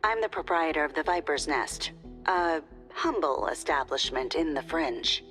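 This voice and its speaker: A woman's voice: low voice, lively intonation, measured pace, fairly bright tone. An actress playing a sly, courteous female proprietor of a shady bar, introducing herself coolly in a video game. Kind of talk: scripted game character introduction. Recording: faint background music.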